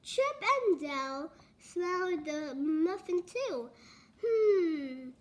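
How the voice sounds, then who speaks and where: A young girl with a very high, childlike voice reads a storybook aloud. Her pace is very slow and halting, taken word by word with small stumbles. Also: expressive. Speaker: read-along reader.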